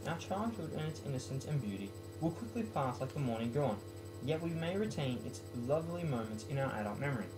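A man's voice: medium pitch, lively intonation, fairly quick, music in the background, rough recording. A young man talks in a casual, homemade student style, as if at his desk in a bedroom. His voice sits in an ordinary male register and moves between loose chat and reading aloud. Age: late teens to young adult. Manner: self-mocking, jokey. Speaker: student vlogger. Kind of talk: casual talk and poem reading. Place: small bedroom, indoors.

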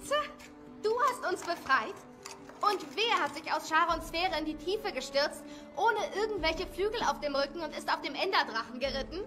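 Woman as a nagging young lady, high voice, sarcastic, sharp-tongued, audio drama, music behind. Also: lively intonation, moderate pace. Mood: exasperated, sarcastic.

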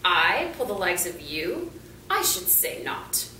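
A woman's voice: fairly low pitch, big pitch swings, takes it slowly, sharp tone, roomy sound, recorded from a distance, a little hiss or hum, full character voice, poor audio. A woman voicing a haughty, unfriendly male train engine in a children's storybook, snooty and dismissive. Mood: scornful.

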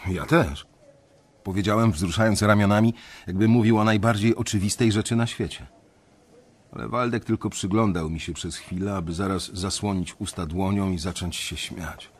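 Niski, lekko ochrypły bankier-narrator. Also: male voice, fairly low pitch, slightly rough. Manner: reflective, confiding. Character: weary young male banker confessing his story. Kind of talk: first-person radio drama narration.

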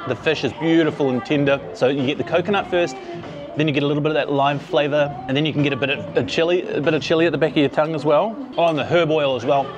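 A man's voice: fairly high pitch, fairly even intonation, fast talker, muffled recording. Kiwi guy in his 40s hyping up food on a rooftop, handheld cam, background music going. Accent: New Zealand. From New Zealand. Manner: enthusiastic, chatty, exclaims over food. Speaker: food vlogger. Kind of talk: casual food and travel vlog. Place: rooftop bars, outdoors and under cover. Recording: music playing behind.

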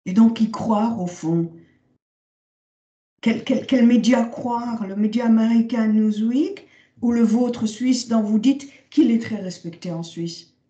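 A woman's voice: fairly high pitch, takes it slowly, dark tone, echoey room, highs cut off. A woman interviewer who politely asks long, carefully framed questions. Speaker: interviewer, host.

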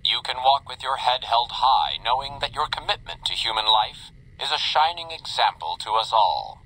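Cheesy retro game announcer, smug and cheery, swoopy voice, old radio sound. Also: male voice, medium pitch, lively intonation, moderate pace, bright, forceful tone, slight background noise, low-quality recording. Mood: cheery, grandiose. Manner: cheery, polished, theatrically congratulatory. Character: upbeat, smug automated announcer of a vault company. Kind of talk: retro promotional public announcement.

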